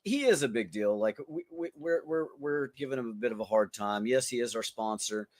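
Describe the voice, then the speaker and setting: A man's voice: medium pitch, a little fast, no room sound, clean studio sound. A man co-hosting a podcast in loose, casual chat, blunt and joking with some swearing. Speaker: podcast co-host. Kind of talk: casual chat among friends.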